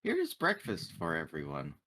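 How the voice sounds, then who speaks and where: A man with a low, lively-pitched voice plays a character in a tabletop game. His wording is formal and matter-of-fact as he narrates actions and delivers lines. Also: unhurried. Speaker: player in a tabletop roleplaying game. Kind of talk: in-character play and description.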